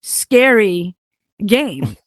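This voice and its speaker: A woman's voice: medium pitch, big pitch swings, extremely slow, no echo, studio quality. A woman whose first language is Hungarian, chatting casually about building a game, rambling with many fillers and laughs. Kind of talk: casual chat.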